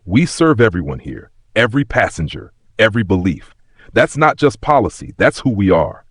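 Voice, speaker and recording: A man voicing a calm, stern, quietly menacing airline CEO in an audio story, measured and firm. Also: fairly low pitch, slightly rough, dry recording, clean studio sound.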